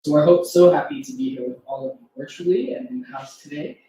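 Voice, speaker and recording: A man's voice: a little high, animated, fast, mellow. A man hosting an event, introducing a guest in a formal, prepared way. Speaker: event host introducing an author. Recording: very echoey room, distant mic, faint noise.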